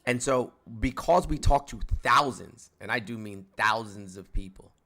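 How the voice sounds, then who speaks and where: A man's voice: medium pitch, normal speed, bright, forceful tone. Lecturing, condescending male debate host. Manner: condescending, lecturing, many restarts. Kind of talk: heated debate.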